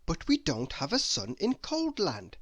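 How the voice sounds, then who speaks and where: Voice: medium pitch, huge pitch swings, unhurried, no echo. A reader voicing a small, fed-up, sneezing male character in a children's storybook, in a plaintive manner. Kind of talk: storybook read-aloud character voice.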